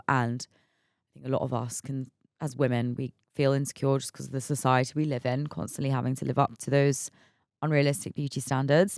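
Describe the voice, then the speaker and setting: A raspy, hungover woman in her mid-twenties hosting her own podcast, chatting candidly, laughing often and repeating words as she talks. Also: a bass voice, dry recording, clean studio sound. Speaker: podcast host. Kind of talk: casual solo podcast chat.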